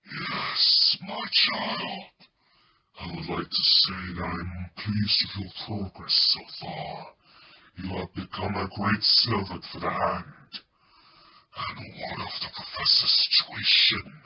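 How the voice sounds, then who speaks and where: An actor playing a menacing, cold cult master in an amateur radio play, speaking formally and deliberately. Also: male voice, low voice, steady tone, bright, forceful tone, heavily acted. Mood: stately, composed. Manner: slow, deliberate, formal threatening delivery. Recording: echoey, muffled, noisy home recording.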